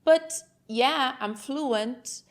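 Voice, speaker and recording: A woman's voice: sits high, very slow. A woman from Brazil with a Brazilian Portuguese accent, whose first language is Portuguese, speaking unscripted to camera as the host of her own channel. She talks frankly, wanders a little, and keeps checking in with "okay?".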